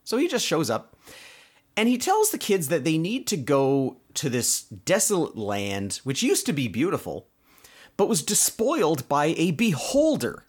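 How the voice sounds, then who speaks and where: A man's voice: fairly high pitch, wildly swooping pitch, measured pace, slightly rough. A man hosting a podcast, casually recapping a cartoon episode in a conversational way with explanatory asides. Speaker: podcast host.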